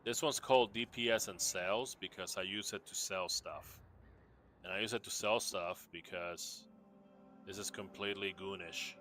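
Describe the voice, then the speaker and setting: A man from Puerto Rico with a low voice that still has a bright, forward edge talks through his game builds in a relaxed, meandering way. He speaks slowly, circling back with fillers and restarts. Soft music plays faintly underneath. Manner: rambling, laid-back, many fillers and restarts. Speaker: gamer showing off character builds. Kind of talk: casual game showcase chat.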